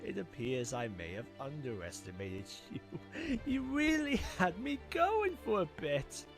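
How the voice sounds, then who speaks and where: A suave and menacing sorcerer villain, silky and theatrical. The speech is slow and deliberate, with huge swings of pitch, as in a cartoon drama. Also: female voice, big pitch swings. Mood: mocking, menacing. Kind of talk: animated fan drama voice acting. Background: music.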